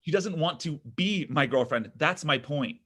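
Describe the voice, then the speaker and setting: A man reads an anxious young boyfriend who fumbles and laughs nervously. His voice is bright and lifts a little high, swinging widely in pitch. He talks in a fidgety, needy way. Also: lively intonation, normal speed. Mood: scheming. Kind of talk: table read of a comedy script.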